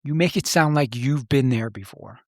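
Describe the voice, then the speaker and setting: A man's voice: medium pitch, moderate pace, darkish tone, no echo. Middle aged guy on a podcast, serious n plain spoken, telling personal stuff, really dry room sound. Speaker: podcast host, former police officer. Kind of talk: reflective personal storytelling in conversation.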